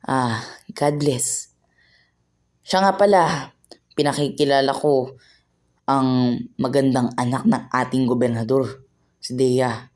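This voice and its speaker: A woman's voice: a bass voice, steady tone, slow, pauses often, darkish tone. A woman playing a stern older father who speaks firmly and gives orders, in an amateur radio play. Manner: firm, commanding.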